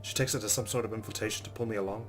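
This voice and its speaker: A man's voice: lowish voice, fairly even intonation, racing, over music. A man hosting a let's play, reading visual novel narration aloud and adding casual asides. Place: recorded voice-over with game music behind.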